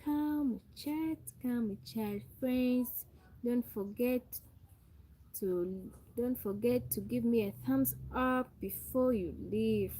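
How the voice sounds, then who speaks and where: Young Ugandan woman hosting a phone livestream, unhurried and warm-toned, faint room ambience behind. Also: a little high, slight background noise, low-quality recording. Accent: Ugandan English. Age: young adult. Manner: repetitive, calls out to viewers and asks for thumbs up. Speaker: livestream host. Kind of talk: live stream greeting viewers.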